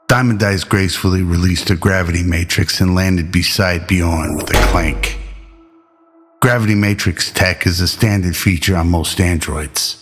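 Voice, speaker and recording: Male narrator, deep voice, restrained intonation, measured old-school radio drama storytelling, clear music bed.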